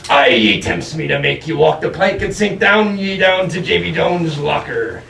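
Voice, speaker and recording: A roomy, distant stage recording of a bossy pirate captain. The voice is gravelly and pitched high, swinging widely as it barks theatrical pirate dialect. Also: male voice, very animated, moderate pace, sharp tone, full character voice. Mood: menacing. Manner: pirate dialect, barking exclamations. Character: blustering, bossy old-time pirate captain. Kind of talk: theatrical children's stage play. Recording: roomy stage, distant mic, faint noise.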